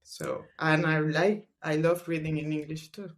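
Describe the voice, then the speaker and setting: A woman's voice: low-pitched, level tone, unhurried. A woman whose first language is Spanish, a scientist guest on a podcast, speaking English in a thoughtful, hesitant way and often trailing off. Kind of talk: casual book club discussion.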